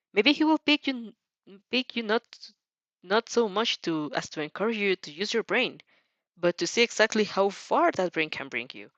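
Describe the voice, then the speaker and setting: Woman, storybook narration, theatrical delivery, very lively pitch, slightly dull lo-fi sound, occasional word stumbles. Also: no echo. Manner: theatrical, stumbles over words. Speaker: fan fiction reader and host. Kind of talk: expressive storybook narration with character voices.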